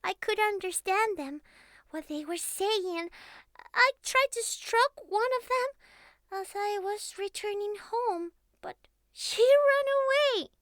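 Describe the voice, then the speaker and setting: A woman's voice: very high-pitched, expressive, slow pace, a bit bright, dry recording, full character voice. A woman voicing a young catgirl who was once a house cat, naive, clingy and earnest, with a childlike, hesitant, pleading way of talking in an intimate ASMR roleplay. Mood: hurt, confused. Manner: childlike, hesitant, pleading, slightly formal phrasing.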